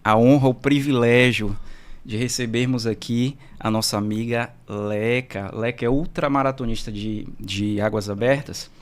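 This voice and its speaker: A man's voice: medium pitch, steady tone, normal speed. A man co-hosting a podcast, introducing the guest in a playful, joking way with local slang. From Bahia, Brazil. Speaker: podcast co-host.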